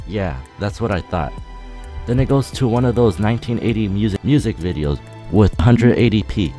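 A teenage boy vlogging a roast in a flat, monotone, deadpan voice with dry sarcasm. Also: lowish voice, moderate pace, soft background music. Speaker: YouTuber. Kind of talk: casual roast vlog narration.

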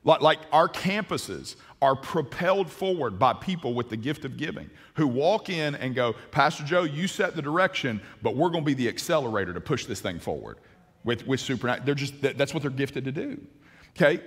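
Husky-voiced male pastor, forties, lively sermon on stage, reverberant hall sound. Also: fairly high pitch, fairly quick. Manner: animated, gesturing, many restarts. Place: large church stage.

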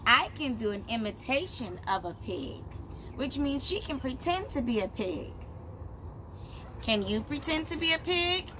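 A woman in her thirties reading a picture book aloud to young children as their teacher, in simple, deliberate phrases, recorded outdoors by a camera a short distance away. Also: high voice, big pitch swings. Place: outdoors, on a patio beside plants. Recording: clear outdoor background noise.

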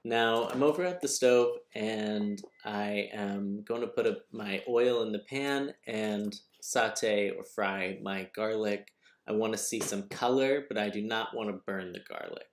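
Calm young male cooking teacher, patient and explanatory, faint guitar backing. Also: fairly low pitch. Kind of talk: instructional cooking voice-over. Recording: distant echoey room with noise, video call.

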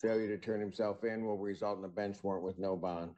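An older man with an American accent presiding as a judge in a courtroom over a video call, speaking in a deliberate, measured way. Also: on the low side, fairly even intonation, warm tone. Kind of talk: formal courtroom proceedings.

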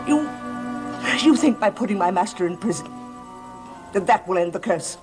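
An older actress playing a stern servant, fiercely loyal to her master, in a gothic soap opera, speaking gravely and emphatically. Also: medium pitch, animated, moderate pace, close to an everyday voice. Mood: ominous. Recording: vintage TV soundtrack with music underneath.

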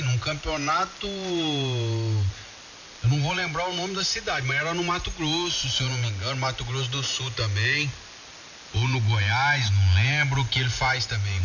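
A Brazilian man in a casual, colloquial radio chat with friends, heard through a shortwave ham radio transmission. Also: mid-range voice. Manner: colloquial, addresses friends by name. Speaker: amateur radio operator in a group contact. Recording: muffled, hissy, very noisy shortwave radio.

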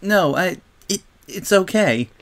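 A man voicing a young, prim pony girl who is defensive and vulnerable, speaking haltingly in a storybook. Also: fairly high pitch, sing-song, a bit bright, pitched above the performer's own voice. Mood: tearful, hurting. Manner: hesitant, halting. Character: haughty young filly turned sad, defensive, vulnerable.

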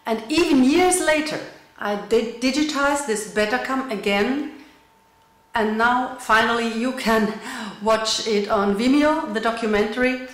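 A woman's voice: a little high, a bit bright. Middle-aged woman, roomy recording. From Switzerland and Luxembourg. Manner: measured, explanatory, with fillers and restarts. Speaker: visual artist. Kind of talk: recorded artist talk to camera. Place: indoor room with bare wall. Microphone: camera framed at chest height.

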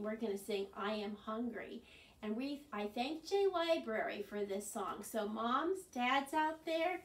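Older woman, higher-pitched voice, children's storytime, expressive and questioning, distant mic in a room. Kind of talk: read-aloud storytime for young children. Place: small carpeted living room, indoors. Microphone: camera a few meters away. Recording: roomy, distant camera microphone.

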